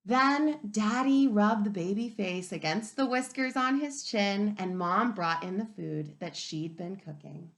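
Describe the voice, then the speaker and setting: A woman reading a picture book aloud to young children as a teacher, expressively, pausing to wonder aloud and ask them questions. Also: medium pitch. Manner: expressive, wondering aloud, inviting listeners to reflect.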